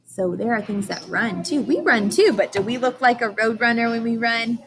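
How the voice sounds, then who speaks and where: A woman's voice: fairly high pitch, normal speed, background noise. A woman teacher reading aloud to young children, explaining and asking questions in simple, emphatic language.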